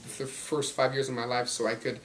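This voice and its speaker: An upbeat young man in his twenties chats loosely as an interview guest, rambling from one thought to the next and often laughing. His pitch sits in an ordinary middle range. A faint hum of ambience runs under the recording. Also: level tone, brisk, a bit bright, low-quality recording. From Belgium and Los Angeles. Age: 20s. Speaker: actor guest. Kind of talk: casual interview talk.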